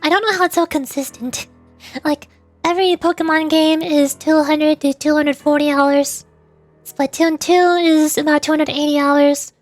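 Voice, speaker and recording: A woman's voice: high voice, fairly even intonation, moderate pace, no echo. A woman livestreamer chatting casually over a game in a playful, rambling way. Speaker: livestreamer, VTuber host. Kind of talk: casual chat while streaming a game. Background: faint music.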